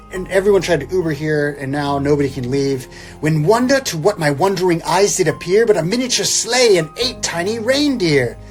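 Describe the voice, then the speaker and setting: Higher-voiced man, wry and theatrical, over music. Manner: wry, irreverent, theatrical when reading verse. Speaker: guest reader, comedic poem reciter. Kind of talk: dramatic poem recital broken by casual joking asides. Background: instrumental Christmas music.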